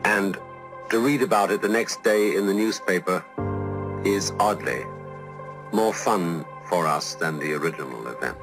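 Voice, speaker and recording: A man giving a measured, reflective philosophical lecture, heard over music. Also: deep voice. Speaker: lecturer heard in an inserted recording. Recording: heavy new-age music underneath. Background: new-age music.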